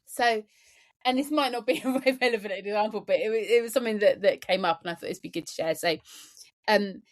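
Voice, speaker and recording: A woman's voice: medium pitch, quick pace. A woman guest on a podcast, speaking about workplaces in an earnest, emphatic way, repeating words and using "you know" often. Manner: earnest and emphatic, with many repetitions and fillers. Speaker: podcast guest, neurodiversity trainer. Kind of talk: conversational podcast interview.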